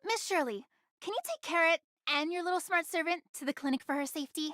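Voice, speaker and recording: A woman's voice: extremely high pitch, fast, fairly bright tone, no echo, clean studio sound. A woman voicing a bossy, sly young woman in an anime game, commanding and teasing in manner. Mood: brisk, urgent.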